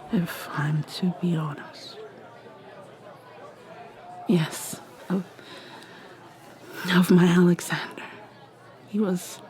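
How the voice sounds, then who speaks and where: Woman playing an ageless vampire mermaid, whispering. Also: lowish voice. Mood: wistful. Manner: soft-spoken, often whispering, halting restarts. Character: ageless secretive female vampire mermaid, guarded. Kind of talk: ASMR roleplay.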